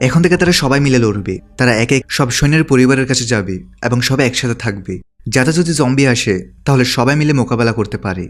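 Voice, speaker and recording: A man's voice: medium pitch, expressive, fast. A man delivering scripted narration as the narrator of a movie recap. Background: background music.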